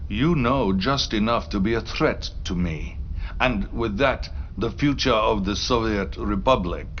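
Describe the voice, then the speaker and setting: An actor playing a treacherous, grandiose Soviet premier in a video game cutscene, speaking deliberately with menace and a Russian accent. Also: male voice, on the low side, muffled recording, slight background noise. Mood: coldly menacing.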